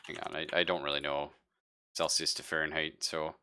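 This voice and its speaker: A man's voice: very deep voice, little pitch movement, a little fast, pushed and bright, clean tone. A man running a tabletop roleplaying game as game master, talking casually and joking with a lot of laughter. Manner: jokey, laughs often.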